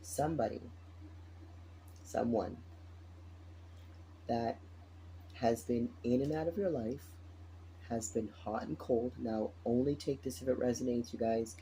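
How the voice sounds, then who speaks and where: A man with a raspy morning voice, tired, chatting casually as he lays out a tarot reading and repeats his phrases. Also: mid-range voice, measured pace, long pauses, dark tone, slight background noise, rough recording. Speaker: tarot reader.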